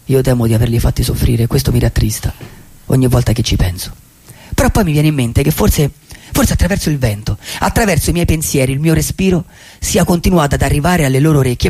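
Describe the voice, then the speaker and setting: Husky, gravelly lonely vagabond dreamer. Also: male voice, mid-range voice, mellow. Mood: melancholy, then tender. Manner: reflective, halting, with dramatic pauses and repeated phrases. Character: lonely, dreamy male vagabond, wistful and philosophical. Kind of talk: poetic radio theatre monologue.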